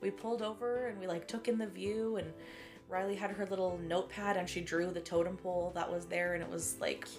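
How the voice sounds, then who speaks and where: A woman's voice: fairly low pitch, flat delivery, fairly quick, music in the background. A Canadian woman in her late twenties, co-hosting a podcast in a casual, rambling chat, with a stuffy, sniffly nose. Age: late 20s. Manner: chatty, rambling, laughs often. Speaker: podcast co-host.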